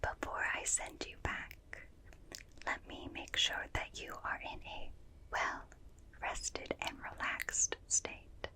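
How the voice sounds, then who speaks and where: A calm alien examiner, played by a woman, whispers very slowly and precisely, close to the ear. The breathy delivery has a bright, sharp edge. The manner is formal, courteous and soothing, in the style of an ASMR personal-attention roleplay. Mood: gentle, soothing. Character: polite, calm alien examiner studying a small human. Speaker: ASMR performer. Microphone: close binaural ASMR microphone.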